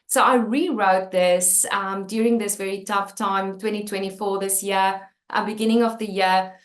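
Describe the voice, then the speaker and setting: A woman's voice: mid-range voice, fairly even intonation, normal speed. Earnest South African woman, echoey room. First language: Afrikaans. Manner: candid and earnest, many fillers and restarts. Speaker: author and channel host. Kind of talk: personal talk about her book.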